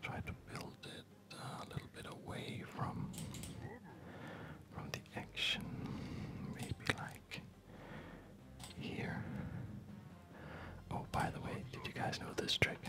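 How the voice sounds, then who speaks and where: A man giving hushed, unhurried ASMR commentary on a game, trailing off into pauses. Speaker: host of an ASMR gaming channel. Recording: music running behind the whisper. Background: game music.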